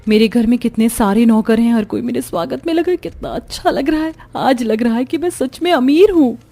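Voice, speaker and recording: A woman's voice: on the high side, a little fast, mellow. Woman as a sweet young bride, music behind. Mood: delighted.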